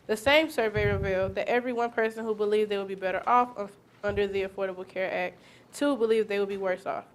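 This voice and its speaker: A woman's voice: medium pitch, natural voice, poor audio. A young woman playing a firm politician, reading a formal opposition speech in readers theater.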